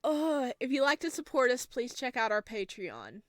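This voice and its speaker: A woman's voice: sits high, moderate pace, dry recording. A woman gaming YouTuber who reads a horror visual novel aloud expressively and then reacts to it. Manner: expressive, animated reader.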